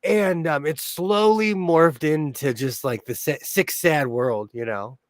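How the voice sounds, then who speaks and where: A man from Southern California talks loosely and laughs a lot as he tells stories to his co-host. His voice sits a bit high for a man. The sound is close and dry, with almost no room around it. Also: takes it slowly, dry recording. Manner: chatty storyteller, laughs often. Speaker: co-host of a live sewing stream. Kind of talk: casual chat.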